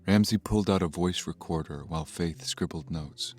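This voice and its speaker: A man's voice: low voice, normal speed, a little mellow, faint music behind. A man giving scripted story narration as the narrator of an audio drama.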